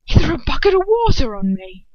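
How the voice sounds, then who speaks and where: A woman's voice: high-pitched, very animated, fairly quick, no echo, pitched above the performer's own voice. A woman voicing a petulant, furious young male wizard in a whining, indignant way for a story. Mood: furious, aggrieved. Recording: dull, lo-fi home recording.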